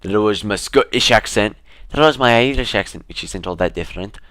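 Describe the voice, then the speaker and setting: A young man talking casually to his camera in a relaxed, rambling way, with fillers and restarts. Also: lowish voice, a little fast, no echo, studio quality. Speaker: vlogger.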